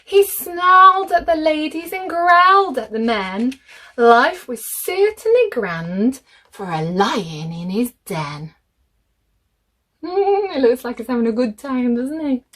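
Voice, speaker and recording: A woman reading a children's picture book aloud, expressive and deliberate, speaking directly to young listeners. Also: high voice.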